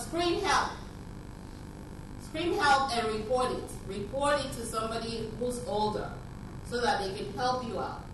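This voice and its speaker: A woman's voice: a little high, noisy background. A middle-aged woman from Nigeria gives an earnest, urging public talk into a handheld mic in a conference room, repeating her key phrases. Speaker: speaker. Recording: echoey room from far off.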